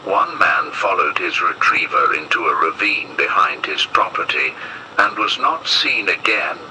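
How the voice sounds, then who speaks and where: A man delivers scripted horror narration in the form of an official safety warning. His deep voice moves slowly and ominously, with lively rises and falls in pitch. The delivery stays grave and measured. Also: slight background noise, low-quality recording.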